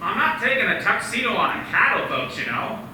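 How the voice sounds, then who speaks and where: Actor as an earnest young dreamer, high animated voice, echoey room, mic far off and noisy. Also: male voice, a little fast. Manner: earnest, eager, stammering at times. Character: restless young man eager to leave his small town.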